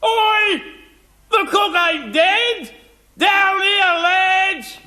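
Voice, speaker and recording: An actor playing a loud, complaining army cook left for dead, bawling out drawn-out words in a theatrical style. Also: male voice, very high voice, long reverb tail, exaggerated voice. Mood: desperate. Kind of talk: theatrical television stage-play acting.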